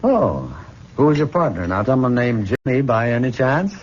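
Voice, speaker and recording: An actor playing a possessive, condescending middle-aged professor and hypnotist in an old-time radio drama, coaxing deliberately on a muffled, noisy old recording. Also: male voice, medium pitch, sing-song, natural voice. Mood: jealous probing.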